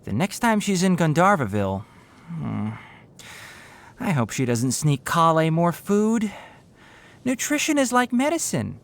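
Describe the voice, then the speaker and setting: A man voicing a sensible, wry young animal-eared forest ranger scholar in a game, speaking in an articulate, measured way with dry sarcasm. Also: on the high side, very animated, vocal fry, full character voice. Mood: composed, explanatory. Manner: articulate, measured, dryly sardonic. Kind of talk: scripted game character voice lines.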